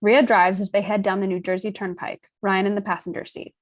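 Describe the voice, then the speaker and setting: A woman's voice: medium pitch, little pitch movement, fairly quick. A woman reading stage directions as scripted narration for a screenplay table read.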